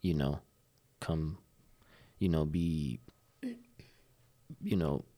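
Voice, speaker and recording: A man's voice: a bass voice, very slow, mellow. A man in his late twenties, a podcast guest in casual conversation, talking in a rambling way and leaning on filler phrases. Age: 20s.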